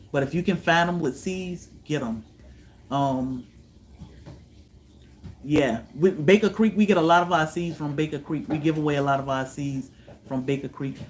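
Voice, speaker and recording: A man from Virginia, hosting a homestead livestream, chatting with viewers in a relaxed way, often letting his voice trail off softly. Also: fairly high pitch, slight background noise. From Virginia, USA. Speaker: homestead channel host. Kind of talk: livestream chat answering viewers.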